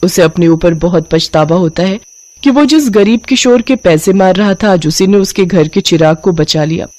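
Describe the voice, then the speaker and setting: A woman narrating a cartoon story in a steady, scripted storytelling manner. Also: on the low side.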